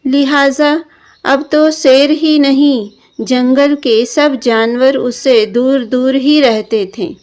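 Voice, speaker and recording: A woman narrating a scripted children's story in a deliberate storyteller's manner. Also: high-pitched, steady tone, a little mellow. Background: background music.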